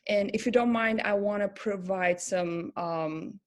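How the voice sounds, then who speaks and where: Turkish-born woman coach, halting and thoughtful. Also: medium pitch. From Turkey. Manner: thoughtful, halting with many fillers and restarts. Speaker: career coach and pharmacist. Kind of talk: casual interview chat.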